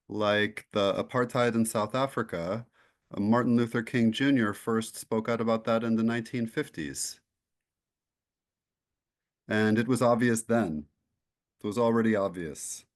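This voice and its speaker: A man answering as a guest, thoughtful and soft-spoken, searching for his words as he reflects. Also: on the low side. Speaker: guest speaker. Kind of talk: reflective discussion.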